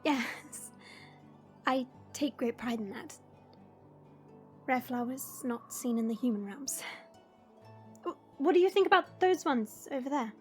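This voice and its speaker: Woman acting a gentle, unhurried dragon princess. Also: high-pitched, faint music behind. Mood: fond, proud. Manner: measured, formal, tender. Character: gentle dragon princess, proud gardener, softly regretful. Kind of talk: audio roleplay acting.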